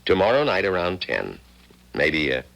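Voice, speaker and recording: An actor playing a gruff, stubborn small-town doctor in a 1970s radio drama, with a folksy, plain-spoken manner. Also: male voice, sits low, wildly swooping pitch, normal speed, a bit bright, heavily acted, rough recording. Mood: curt, firm.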